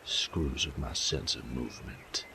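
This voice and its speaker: Man playing a very deep, gravelly bandit. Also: sharp tone, slight background noise, exaggerated voice. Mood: wry, complaining. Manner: slow, taunting drawl with folksy, profane phrasing. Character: sadistic male bandit, cocky, teasing, menacing rogue. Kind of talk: intimate roleplay audio drama.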